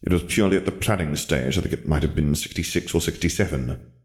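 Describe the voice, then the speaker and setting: A man voicing a dry, haughty dark wizard revolutionary in an audiobook story, speaking in a flat, wry, long-winded way. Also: low voice, fast. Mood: wry, matter-of-fact.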